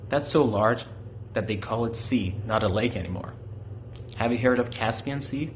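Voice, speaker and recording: A man's voice: lowish voice, a little hiss or hum. A young man from Iran, a native Farsi speaker with an Iranian accent, explaining his country's culture in a simple, friendly way and asking the listener questions. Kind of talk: simple explanatory talk aimed at children.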